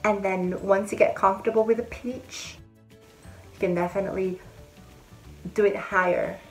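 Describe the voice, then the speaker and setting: A woman's voice: medium pitch, fairly even intonation, unhurried, soft background music. A young woman talking to camera in a chatty, friendly way, giving step-by-step advice in a casual vlog. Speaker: vlogger. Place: indoor room with a bead-curtain backdrop.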